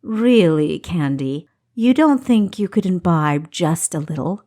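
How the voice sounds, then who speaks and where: A woman's voice: lowish voice, animated, measured pace, warm tone. A woman voicing a bold female friend at a storybook dinner, emphatic and given to indignant complaint.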